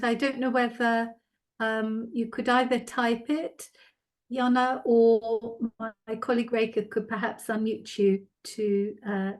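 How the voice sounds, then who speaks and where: A woman moderating an online question session, speaking politely and formally with hesitant phrasing as she hosts and reads out comments. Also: on the high side. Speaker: host and moderator of an online art talk. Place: online video event.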